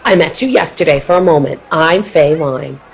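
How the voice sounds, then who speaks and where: Woman acting a scheming low-voiced circus villainess. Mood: nervous, evasive. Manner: halting, stammering, repeating words. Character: scheming, nervous murderous circus tiger-tamer woman. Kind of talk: radio play acting. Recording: muffled, lo-fi audio with noise.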